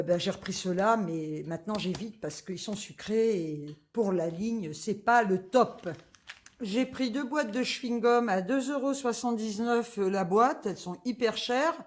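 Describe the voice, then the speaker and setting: A woman, chatting casually through a grocery haul, full of fillers and asides. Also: medium pitch. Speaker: vlogger.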